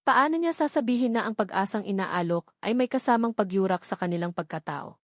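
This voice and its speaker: A woman's voice: medium pitch, expressive, brisk, no room sound, studio quality. A woman reading scripted, dramatic narration of a love story.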